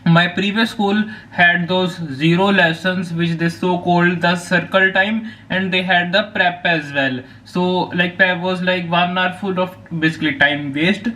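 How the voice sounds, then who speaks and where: A man's voice: high-pitched, fairly even intonation, a little slow, few pauses, pushed and bright, rough recording. A teenage boy from Punjab with an Indian accent, a native Hindi speaker, talking casually to camera with lots of fillers and restarts. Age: teens. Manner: rambling with many fillers and restarts. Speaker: vlogger.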